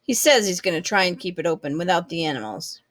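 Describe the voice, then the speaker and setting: A woman's voice: on the low side, lively intonation, fast talker, no echo. Storybook part: worn-out, practical father done by a woman reader, resigned and plain-spoken, dry recording. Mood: worried. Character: weary, practical working-class father.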